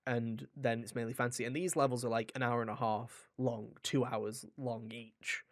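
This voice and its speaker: A man's voice: mid-range voice, flat delivery, normal speed, no echo, studio quality. A man co-hosting a video game podcast, chatting in an informal, rambling and jokey way. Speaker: podcast co-host reviewing video games. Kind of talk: casual chat.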